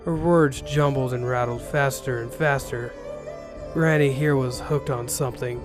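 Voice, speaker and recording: A man narrates a first-person ronin tale with dry sarcasm. His voice is mid-pitched, and music plays behind the reading. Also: fairly even intonation, measured pace. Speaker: narrator reading an interactive novel. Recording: music bed under the voice.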